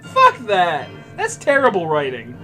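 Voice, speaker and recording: Animated, bright-voiced man bantering. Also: high voice, unhurried, soft background music. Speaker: co-host playing a video game. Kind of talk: casual banter over gameplay.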